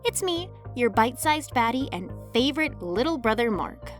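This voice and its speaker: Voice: medium pitch, big pitch swings, normal speed. A woman narrating a scripted tutorial as host, with a playful, cheeky manner and joking asides. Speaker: host, indie voice actor giving tutorial. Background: background music.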